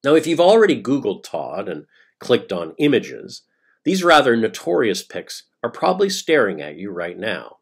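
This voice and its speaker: A man reading a scripted monologue in a conversational storytelling style, emphatic, with rhetorical questions and dramatic pauses. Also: fairly low pitch, huge pitch swings, vocal fry, breathy edge. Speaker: playwright reading own monologue.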